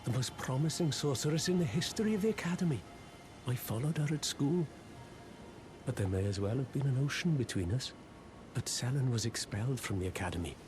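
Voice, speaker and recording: An actor playing a humble, hapless apprentice sorcerer in a video game, apologetic, self-deprecating and hesitant. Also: male voice, mid-range voice, noisy background, lightly acted. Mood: admiring, earnest. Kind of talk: video game character dialogue.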